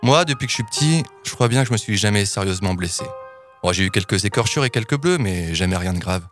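Wry low-voiced man reading a comic column. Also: lively intonation, fast, a bit bright, faint music behind. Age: 30s. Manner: wry, self-mocking, theatrical. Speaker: podcast columnist.

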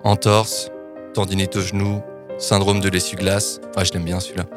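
Chroniqueur trentenaire à la voix grave, sur fond musical. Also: male voice, low-pitched, little pitch movement, moderate pace, over music. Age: 30s. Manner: playful, informal, mock-dramatic. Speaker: podcast columnist. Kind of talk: scripted humorous narration.

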